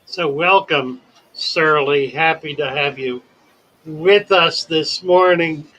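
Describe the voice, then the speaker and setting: An older man hosts a relaxed live chat with a slightly high voice. He takes his time, choosing his words slowly and carefully, with a wordy, storytelling manner. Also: low-quality recording. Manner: slow, wordy, deliberate storytelling. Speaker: livestream host. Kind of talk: casual chat.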